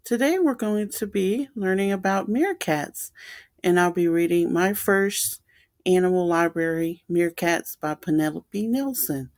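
A woman reading a children's picture book aloud as a storytime host, explaining things simply and deliberately for young listeners. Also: fairly low pitch, expressive, darkish tone.

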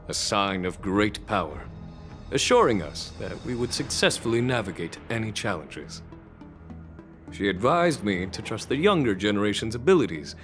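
A grave, expressive military leader. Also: male voice, lowish voice. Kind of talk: game dialogue.

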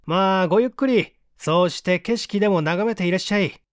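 A man's voice: high-pitched, a little fast, no echo, clean studio sound, natural voice. A man voicing a smug, teasing amateur detective who boastfully explains his tricks in a mystery audiobook. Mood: mocking. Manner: taunting, self-satisfied, explanatory. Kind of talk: dramatic audiobook reading of a mystery story.

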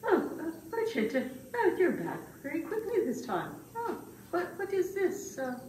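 A woman voicing a gracious, puzzled queen in a puppet show, musing politely and haltingly. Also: very high voice, huge pitch swings, darkish tone, full character voice. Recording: roomy, distant mic with background noise.

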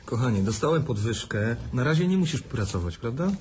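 An actor playing a sardonic, principled brother with dry humor. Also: male voice, mid-range voice, big pitch swings, brisk, darkish tone, a little hiss or hum, lightly acted. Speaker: Karol, radio deputy director. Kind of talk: radio drama acting. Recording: drama with music under.

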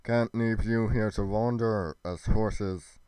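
Proud heroic warrior for game roleplay, man acting, clean slow delivery, formal and declamatory, dry close sound. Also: fairly low pitch, steady tone, warm tone, clean tone, dry recording. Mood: musing. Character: proud, defiant young dragon-hearted hero warrior. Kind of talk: theatrical fantasy roleplay acting.

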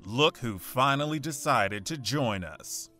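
A resentful eldest merman prince, voiced by a man, in an audio drama. His tone is bright and forceful and his pitch animated, cutting and accusatory over faint music. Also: slow pace, heavily acted.

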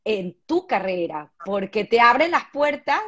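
A woman's voice: fairly high pitch, unhurried. Woman interviewer with dull call audio. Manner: warm, relaxed questioning, reads viewer comments aloud. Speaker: interviewer host. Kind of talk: casual interview. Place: home room. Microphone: video call.

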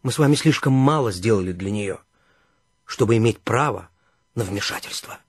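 Husky earnest gentleman. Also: fairly low pitch, expressive, moderate pace, dry recording. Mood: firm, earnest. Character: earnest, generous, well-off returned brother, middle-aged gentleman. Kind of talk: radio play acting.

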